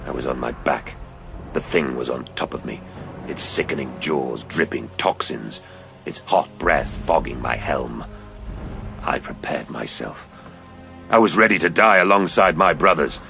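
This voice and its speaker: A man playing a grim, haunted veteran space-soldier sergeant in an audio drama, speaking slowly and solemnly in a low, hushed growl. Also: gravelly, music in the background, exaggerated voice. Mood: brooding, solemn. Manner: slow, brooding, solemn. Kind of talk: audio drama character acting.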